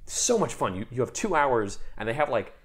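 A young man chatting casually, talking in a lively, rambling way with many fillers and restarts while getting over a mild cold. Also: mid-range voice, fairly quick, husky. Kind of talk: casual chat.

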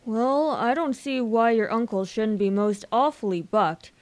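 A woman playing a breezy, generous young upper-class gentleman in a radio comedy, using old-fashioned society slang. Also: on the high side, a little slow, close to an everyday voice. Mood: confident.

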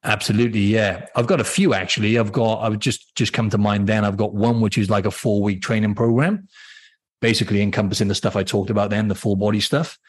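A man's voice: fairly low pitch, fast. Chatty man, Welsh-Australian accent. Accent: mixed Welsh and Australian. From Wales. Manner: chatty, rambling with ums and restarts. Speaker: guest, fitness coach. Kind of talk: casual interview chat.

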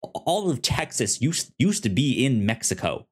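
A man with a medium-pitched voice talks as co-host of a casual film discussion. He is from the United States. His delivery is halting, with frequent stammered repeats at the start of words. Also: sing-song, slightly rough. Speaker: podcast co-host discussing films.

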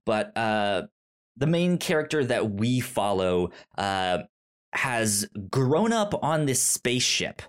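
A man hosting a casual book discussion, halting and rambling, with a stutter that repeats sounds and a self-deprecating manner. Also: medium pitch, big pitch swings, clear, resonant tone. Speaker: podcast host.

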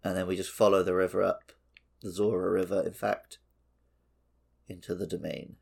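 A man's voice: low-pitched, little pitch movement, moderate pace, creaky, darkish tone, breathy edge. A man co-hosting a podcast, talking casually through a video game. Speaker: podcast co-host.

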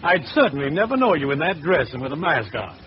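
A man's voice: on the high side, sing-song, fast. A man acting a flustered, affable boss in a hesitant, fussy way in 1940s radio comedy. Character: flustered, affable middle-aged male boss. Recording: noisy, dull old radio recording.

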